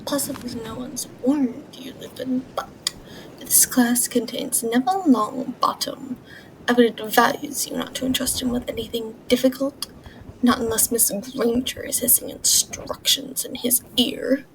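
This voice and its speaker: Woman acting spiteful male professor, high-pitched, disdainful, deliberate, noisy recording. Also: fairly even intonation, lightly acted. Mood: sneering. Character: cold sneering male potions teacher, spiteful. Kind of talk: fan audio drama acting.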